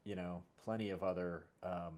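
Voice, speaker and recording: A man, a show host and writer, chatting casually in a halting way, repeating words and often adding "you know". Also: sits low, fairly even intonation, a little mellow. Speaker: series creator and show host. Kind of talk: casual conversation about a fiction series.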